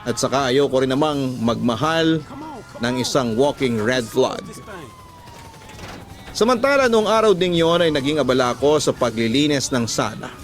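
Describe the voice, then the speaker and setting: A man's voice: medium pitch, lively intonation, normal speed. A man with a Filipino accent reading a first-person story as scripted, confiding radio drama narration. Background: background music.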